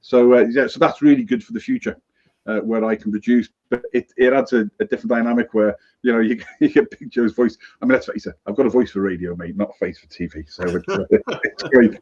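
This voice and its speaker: A man hosting a live show in an upbeat, chatty way, often calling his co-host mate. His voice sits at a medium pitch. The sound is dull and lo-fi, heard over a remote feed. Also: animated, brisk, a little mellow, husky. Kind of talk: casual live-stream chat. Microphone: remote audio feed, off-screen.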